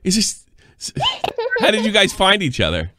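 A man hosting a casual call-in show, asking lively questions with many restarts and often talking over the caller. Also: high voice, moderate pace, background noise. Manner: animated, stumbling restarts, talks over the caller. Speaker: host.

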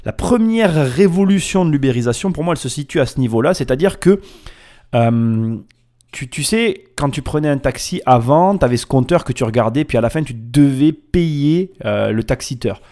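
A man's voice: medium pitch, expressive, moderate pace, mellow. A man hosting a solo podcast in an informal, playful and emphatic way, often wandering off on tangents. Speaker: podcast host. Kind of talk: casual solo podcast monologue.

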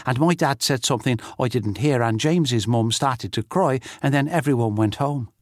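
An actor reading letters as a blunt, chatty young schoolboy with a simple, childlike way of talking. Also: male voice, medium pitch, no room sound, studio quality. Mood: grumbling, earnest. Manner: childlike, simple run-on telling. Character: young schoolboy writing blunt, chatty notes to his friend.

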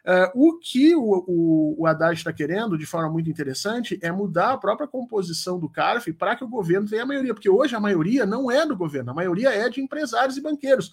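A Brazilian man with a high-pitched voice that has a rough, husky grain. He talks conversationally as a guest political commentator, making his points emphatically and with firm opinions. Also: animated, a little fast, few pauses.

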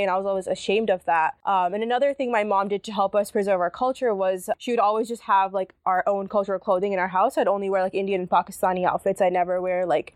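A woman's voice: mid-range voice, no room sound. A young woman guest telling stories from her childhood in a casual, chatty way, using many "like" and "you know" fillers. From United States. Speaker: guest, student activist. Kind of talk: casual conversational storytelling.

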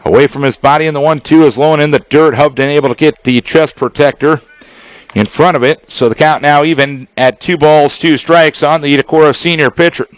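A man doing live baseball play-by-play as a radio announcer, speaking in measured, clipped phrases with deliberate pauses. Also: on the high side, steady tone, clear, resonant tone, dry recording, rough recording. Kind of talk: live sports play-by-play commentary.

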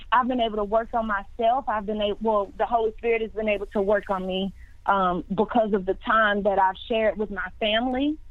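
A woman's voice: mid-range voice, no echo. A woman in her twenties, a singer, answering interview questions in a casual way, often repeating words and restarting. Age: 20s. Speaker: singer guest. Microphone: phone line. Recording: tinny dry phone line.

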